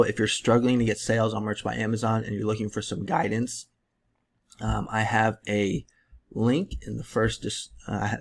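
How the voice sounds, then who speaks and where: A man in his thirties talks through a tutorial in a loose, rambling way, full of ums and likes. His voice sits low with a gravelly fry, and it is strained and fading as he loses it. He talks into a webcam in a room at home. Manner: relaxed, rambling, many fillers. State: losing his voice, clearing his throat. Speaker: print-on-demand seller and tutorial creator. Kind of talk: casual screen-share tutorial.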